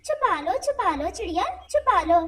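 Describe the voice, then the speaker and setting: Woman as a loud, high-pitched gossipy crow. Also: very animated, normal speed, slight background noise, full character voice. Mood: nosy, gossipy. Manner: loud, nosy, insinuating. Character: gossipy, meddling female crow.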